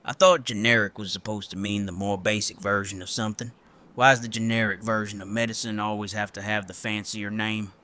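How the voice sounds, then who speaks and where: An actor playing a gruff, plain-spoken male survivor in an audio drama, with a blunt, folksy manner. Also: fairly low pitch, fairly even intonation, a little fast, pushed and bright. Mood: puzzled.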